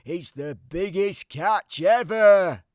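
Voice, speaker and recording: A man voicing an excitable, blustering old fisherman in a children's storybook. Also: sits high, slow, no echo, clean studio sound, voice pushed up. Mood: excited, gossipy. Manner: exclamatory, blustering.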